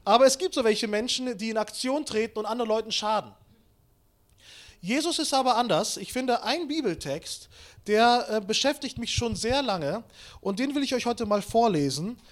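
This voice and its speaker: A man in his late twenties, born in Kazakhstan, preaches while moving about. His voice sits high for a man. He mixes Bible reading with playful, self-mocking anecdotes. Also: moderate pace. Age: 20s. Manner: lively storytelling with self-mocking humor, walks around while preaching. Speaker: pastor. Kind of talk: sermon.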